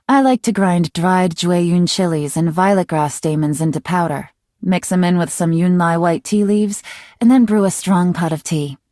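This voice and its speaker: Woman acting a sly, mellow-voiced secret agent. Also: fairly low pitch, a little slow, dry recording, clean studio sound, natural voice. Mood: relaxed, musing. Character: mysterious, calm, calculating female intelligence agent, teasing and self-assured. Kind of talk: scripted video game character voice lines.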